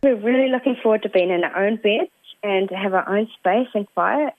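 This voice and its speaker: A woman in her twenties with a New Zealand accent, tired and a bit croaky, talking hesitantly over the phone with lots of ums. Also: medium pitch, brisk. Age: 20s. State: croaky, tired late at night. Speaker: stranded traveller. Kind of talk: casual phone interview. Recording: tinny phone line.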